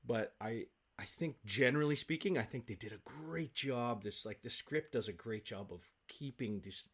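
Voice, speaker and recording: A man speaking as an interview guest in casual, enthusiastic conversation, telling stories in an animated way. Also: medium pitch, moderate pace, husky.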